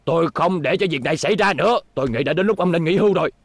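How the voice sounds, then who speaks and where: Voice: medium pitch, rapid-fire, no echo, close to an everyday voice. An actor playing a scheming middle-aged hotel owner in a cartoon dub, oily and pompous. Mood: accusing. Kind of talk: Vietnamese cartoon dubbing. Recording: background music under dubbed dialogue.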